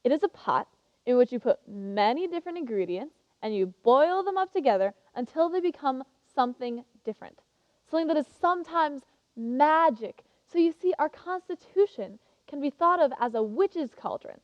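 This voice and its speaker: A woman's voice: high voice, lively intonation, normal speed. A woman playing an eager, passionate teenage girl giving an earnest, emphatic contest speech in a theatrical monologue. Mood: fervent. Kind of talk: theatrical monologue as prepared oration. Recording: muffled, reverberant hall recording.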